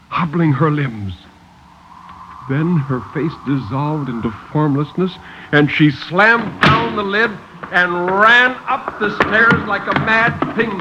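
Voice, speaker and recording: Dramatic male storyteller on noisy old radio. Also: high voice. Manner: dramatic, intense, suspenseful delivery. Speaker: narrator, story reader. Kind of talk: 1950s radio horror story narration. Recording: noisy, muffled old 1950s radio recording.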